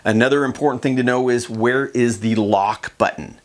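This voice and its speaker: A man's voice: mid-range voice, steady tone, moderate pace. A man giving a casual, plain-spoken instructional demonstration in a conversational way. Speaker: kayak fishing instructor.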